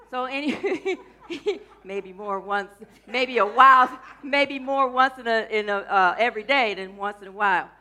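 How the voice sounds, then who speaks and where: A woman minister giving a loose, chatty sermon to her congregation. Her voice is scratchy today and she keeps clearing her throat, and she tends to wander off topic and joke along the way. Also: a little high, a little slow, bright, forceful tone. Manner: rambling, playful, drifts off topic mid-sentence. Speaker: minister of a church.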